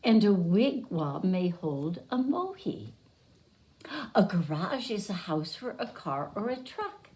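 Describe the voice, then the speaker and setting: A woman teacher reading a picture book aloud to young children, pausing to explain and repeat words simply. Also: fairly low pitch, very animated, a little mellow.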